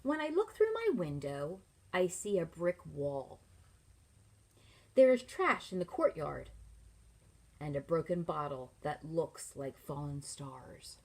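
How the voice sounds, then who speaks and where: A middle-aged woman, a teacher reading a picture book aloud to children, expressively and with pauses to ask them leading questions. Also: sits low, sing-song, darkish tone. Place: small furnished room at home, indoors.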